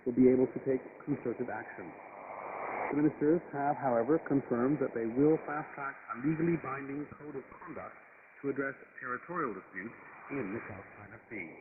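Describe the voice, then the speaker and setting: A man's voice: mid-range voice, a little fast. A man reading scripted international news in a formal manner as a radio anchor. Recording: noisy, narrowband shortwave radio reception.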